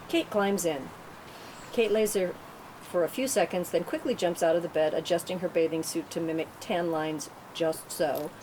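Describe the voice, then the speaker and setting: A woman's voice: lowish voice, darkish tone. A woman reading stage directions as narrator at a script table read, in a matter-of-fact way. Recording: clearly noisy room recording.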